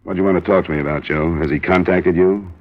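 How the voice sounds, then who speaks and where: A cool, hard-boiled investigator tells his case in a deep voice. His delivery is clipped and deadpan, in 1950s radio drama style, on a dull vintage recording. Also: fast talker. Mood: calm, sympathetic. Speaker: lead actor and narrator.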